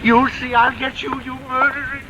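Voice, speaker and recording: A man's voice: very high-pitched, level tone, normal speed, dull audio. An actor playing a frail older man, grief-stricken and vengeful, in an anguished, melodramatic old radio suspense style. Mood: vengeful fury. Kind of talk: 1940s radio suspense drama acting. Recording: old radio recording, noisy.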